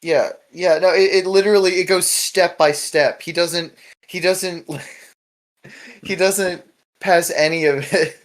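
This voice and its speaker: A man's voice: high voice, steady tone, takes it slowly. A man hosting a casual podcast chat, talking in a rambling, informal way with lots of filler words. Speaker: podcast host. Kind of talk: casual podcast discussion of a webcomic.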